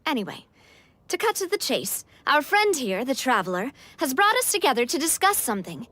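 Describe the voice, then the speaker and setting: A woman's voice: sits high, big pitch swings, a little fast, sharp tone, no echo, heavily acted. An actress playing a showy, playful young woman who loves the spotlight, in a video game.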